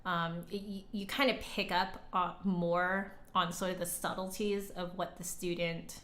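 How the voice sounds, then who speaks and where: A woman chatting casually as a livestream host and flute teacher, conversational, with hesitant pauses and emphatic repeats. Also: on the low side, level tone, a bit bright. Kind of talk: casual livestream chat, answering viewer comments.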